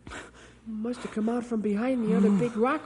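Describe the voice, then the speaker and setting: A high-pitched, plucky young boy in vintage radio acting, voiced by a woman. His lines come quick and bold over a faint background hiss. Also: fairly even intonation, darkish tone, close to an everyday voice. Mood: tense. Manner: quick, bold boyish calls. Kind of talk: 1950s radio drama acting.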